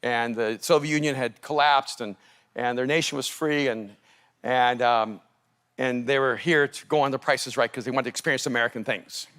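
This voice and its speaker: A man from El Salvador, a native Spanish speaker, preaching as a pastor and telling a story in an animated, laughing manner. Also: medium pitch, normal speed. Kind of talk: storytelling sermon.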